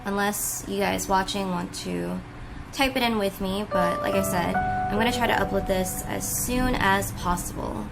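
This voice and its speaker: Woman chatting casually over loud music. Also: mid-range voice, moderate pace, vocal fry, rough recording. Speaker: host, reacting to a game update livestream. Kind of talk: casual reaction chat. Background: loud game trailer and stream music.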